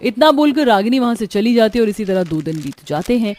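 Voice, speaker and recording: A woman narrating a scripted animated family drama as a storyteller. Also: a little high, fairly quick.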